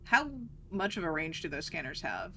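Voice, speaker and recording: A tabletop player speaks in a medium-pitched voice. The talk is casual and conversational, as in an informal game session. Background noise is noticeable. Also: big pitch swings, normal speed, sharp tone. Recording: clearly noisy background.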